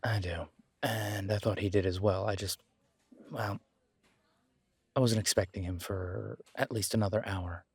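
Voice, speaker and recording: Guy doing a young werewolf alpha for audio rp, husky, slow talking, wry n protective. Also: fairly low pitch, fairly even intonation, no echo, clean studio sound. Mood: wary, resigned. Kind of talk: audio roleplay acting.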